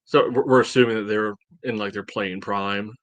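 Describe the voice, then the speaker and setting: Low-voiced man bantering on a dry-sounding podcast. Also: fairly even intonation, moderate pace, a bit bright, no room sound. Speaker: podcast co-host. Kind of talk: casual soccer podcast banter.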